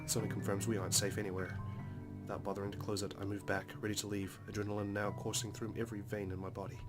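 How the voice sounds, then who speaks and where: A man reads visual novel narration as a dramatic story for a Let's Play. His voice sits low and stays very even in pitch, measured and serious. Loud game music plays behind him. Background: game soundtrack music.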